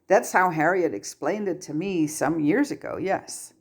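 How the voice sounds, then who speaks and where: A woman voicing a stern but fond male teacher in a story reading, firm, measured and dryly patient. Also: fairly low pitch. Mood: patient, kind.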